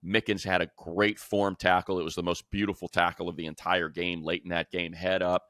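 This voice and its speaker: Deep-voiced American man talking sports. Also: level tone, brisk, fairly bright tone, dry recording, clean studio sound. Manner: emphatic, colloquial, acts out imagined dialogue. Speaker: sports podcast co-host and play-by-play announcer. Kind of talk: unscripted sports analysis.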